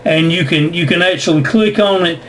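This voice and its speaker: A man's voice: fairly high pitch, fairly quick, fairly bright tone. A man explaining things in a casual amateur radio conversation, often repeating his words. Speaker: amateur radio operator recording contacts for YouTube. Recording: noisy amateur radio audio.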